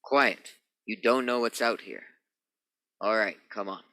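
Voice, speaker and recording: An actor playing a firm spaceship captain in a science fiction radio play, giving orders with authority. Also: male voice, lowish voice, a little slow, pushed and bright, no room sound. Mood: tense, cautious.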